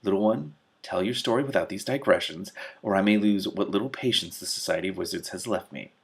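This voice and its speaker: A man's voice: low voice, brisk, gravelly, slightly rough, exaggerated voice. A man voicing a huge female storybook dragon, stern, curt and impatient. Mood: threatening. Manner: commanding, curt.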